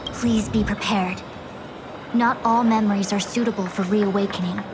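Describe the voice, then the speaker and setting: A woman's voice: mid-range voice, fairly even intonation, moderate pace, over music, natural voice. A woman voicing a composed, authoritative female diviner in a video game, speaking formally and precisely. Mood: cautioning, calm.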